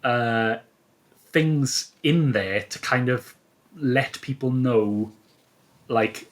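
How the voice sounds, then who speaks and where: A man speaking as a guest author in a casual interview, explaining things in a thoughtful, halting way. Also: medium pitch.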